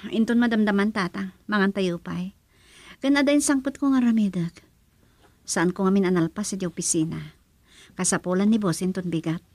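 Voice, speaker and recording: A woman's voice: mid-range voice, a little fast, a little mellow, dry recording, natural voice. A woman playing a dutiful, anxious young daughter in a radio drama, with an Ilocano Filipino accent.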